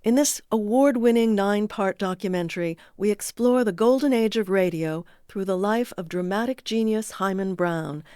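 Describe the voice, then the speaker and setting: A woman's voice: mid-range voice, normal speed, hardly any pauses, warm tone, smooth, dry recording, clean studio sound. A woman announcer reading scripted programme credits as a voice-over. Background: music.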